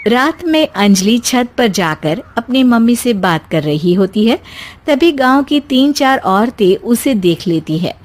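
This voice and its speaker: A woman's voice: a little high, sing-song, unhurried, a little hiss or hum. A woman narrating a scripted story as a storyteller.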